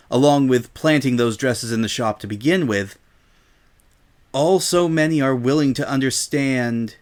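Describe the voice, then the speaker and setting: A man's voice: medium pitch, lightly acted. Man voicing a stern, regal pony princess. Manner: formal, deliberate speech. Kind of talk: dramatic reading of a fan story.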